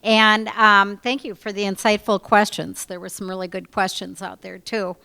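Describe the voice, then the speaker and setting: Woman, meeting host, bright clear voice, gracious thanks. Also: mid-range voice, little pitch movement, moderate pace. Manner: gracious, appreciative. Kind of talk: thanking a guest speaker.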